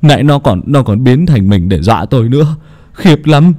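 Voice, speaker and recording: A man's voice: mid-range voice, animated, quick pace, darkish tone, close to an everyday voice. A man voicing a shaken middle-aged villager who stammers and wails. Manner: stammering, wailing.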